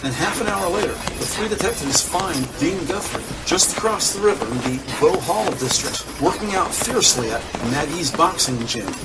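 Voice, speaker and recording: Male crime drama narrator, higher-pitched voice, deliberate descriptive storytelling, distant from mic. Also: expressive, loud music behind the voice. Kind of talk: scripted present-tense crime story narration. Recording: distant voice over loud background noise.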